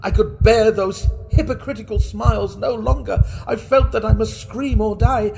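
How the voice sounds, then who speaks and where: A man playing a mad, guilt-racked murderer, telling his horror story in a theatrical, intense and deliberate manner. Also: high voice, steady tone, gravelly, warm tone, faint music behind. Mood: frantic, hysterical. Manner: theatrical, deliberate, intense, broken into short phrases. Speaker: reader of a classic horror story. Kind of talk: dramatic first-person storytelling.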